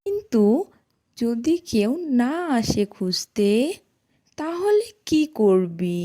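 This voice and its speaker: A woman's voice: a little high, big pitch swings, takes it slowly, warm tone. Woman as an impulsive, lilting young schoolgirl. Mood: doubtful. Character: easily tempted, impulsive young schoolgirl friend. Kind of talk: Islamic moral cartoon voice acting.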